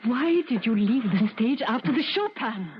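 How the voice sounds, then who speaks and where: A stern, brisk woman impresario acted out by a woman in a vintage radio play. Her phrasing is formal and imperious, and the muffled broadcast has clear noise over it. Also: on the high side, lively intonation, close to an everyday voice. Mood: stern, urgent. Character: commanding, brisk woman concert manager, worldly and stern. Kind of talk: 1970s radio drama acting.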